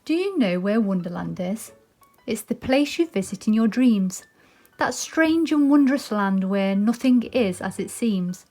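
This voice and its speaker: A woman's voice: on the high side, expressive, unhurried, mellow, faint music behind. A British woman presenting and reading a children's story aloud.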